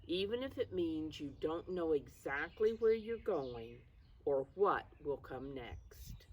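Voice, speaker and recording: An older woman reading aloud to young children, pausing to explain things in simple words. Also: sits low. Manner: addresses children simply, calls self by name in third person. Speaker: preschool storytime reader. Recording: distant mic, faint background noise.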